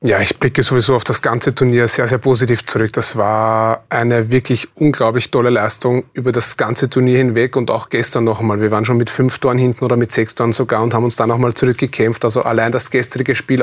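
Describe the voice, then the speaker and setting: A man's voice: medium pitch, level tone, a little fast. Male athlete interviewee, Austrian accent, mid-range voice, keen and sincere, TV studio talk. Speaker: athlete interview guest, handball goalkeeper.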